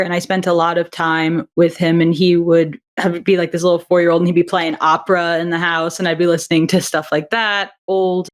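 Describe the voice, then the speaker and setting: A woman being interviewed on a podcast, talking reflectively with frequent laughs and fillers. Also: lowish voice. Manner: warm, reflective, laughs often, many fillers. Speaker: audio drama writer and director, podcast guest. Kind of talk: casual interview answers.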